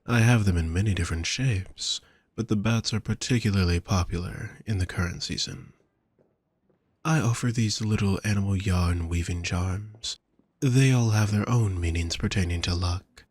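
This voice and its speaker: A man playing a doting, courtly vampire shopkeeper in an intimate audio roleplay, with a husky voice and gentle, flowery, formal speech addressed to the listener. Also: sits low, moderate pace. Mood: smooth, teasing.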